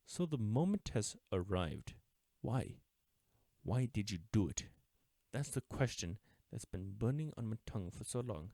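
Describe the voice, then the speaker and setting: An actor playing an uptight government agent in an audio roleplay, speaking formally and deliberately, smug yet mourning a lost friend. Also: male voice, fairly low pitch, big pitch swings, warm tone, dry recording, full character voice. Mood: stern, reproachful. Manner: formal, deliberate, taunting with wistful undertones. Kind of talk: scripted audio roleplay drama, addressing the listener.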